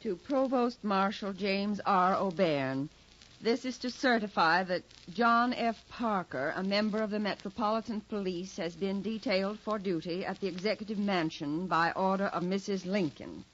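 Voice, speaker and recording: A woman's voice: medium pitch, moderate pace, a bit bright, natural voice. An actress playing an anxious, imperious First Lady in a 1950s radio drama, speaking formally and theatrically. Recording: dull, husky-sounding 1950s radio recording.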